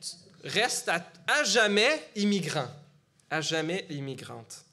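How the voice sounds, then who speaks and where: Middle-aged man, Quebec French accent, preaching in church, chatty delivery, slightly high pitch. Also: big pitch swings, slow, pushed and bright. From Gatineau, Quebec. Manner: conversational, with frequent fillers and restarts. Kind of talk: sermon.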